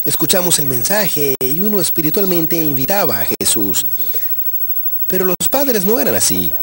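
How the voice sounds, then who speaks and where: A man from Texas, a guest in an interview, telling his own faith story in an earnest, reflective way with frequent hesitations. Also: a little high. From Texas, USA. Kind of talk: personal faith testimony in conversation.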